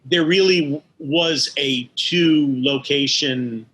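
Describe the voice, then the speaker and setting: An older American man recalling his work as an interview guest, in a halting way that circles back over words. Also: on the high side, pushed and bright, a little hiss or hum. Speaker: interview guest, animation studio veteran. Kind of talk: conversational interview recollection.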